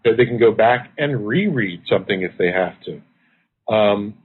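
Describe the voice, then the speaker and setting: A man's voice: on the low side, animated, a little slow, slight background noise. A man being interviewed as a guest over the phone, explaining at length in an earnest way. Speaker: guest, activist and researcher. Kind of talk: interview answers. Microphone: phone line. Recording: tinny phone line.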